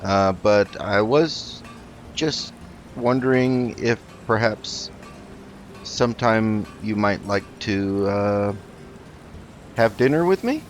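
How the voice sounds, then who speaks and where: A man hosting a roleplay gaming video, talking in a measured, halting way as he plays and narrates. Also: lowish voice, expressive, slight background noise. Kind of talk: roleplay let's play commentary.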